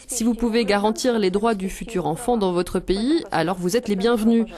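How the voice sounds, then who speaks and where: A woman's voice: medium pitch, normal speed, mellow. Woman voicing a translated interview. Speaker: voice-over translation.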